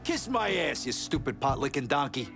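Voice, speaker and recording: A man's voice: mid-range voice, sing-song, normal speed, vocal fry, music in the background. A man acting an ambitious, scornful crime underboss in a video game, brash and combative.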